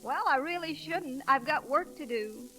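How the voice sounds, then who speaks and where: An actress playing a proud, plain-spoken small-town cook in an old radio comedy, tart and direct. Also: female voice, high voice, lively intonation, moderate pace, sharp tone, full character voice. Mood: friendly, frank. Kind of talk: 1930s-40s radio comedy acting. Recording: vintage radio recording with sizzling crackle.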